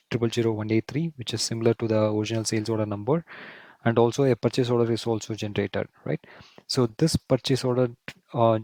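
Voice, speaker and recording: A man presenting a screen-share software tutorial, explaining step by step and often repeating himself. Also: medium pitch, no echo. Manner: step-by-step, repetitive explaining, often checks with "right?".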